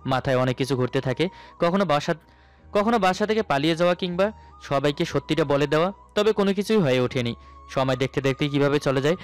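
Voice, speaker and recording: A man's voice: fairly high pitch, fast, smooth. A man narrating a romantic story in the first person, in a dramatic storytelling style. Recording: music bed under the voice. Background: background music.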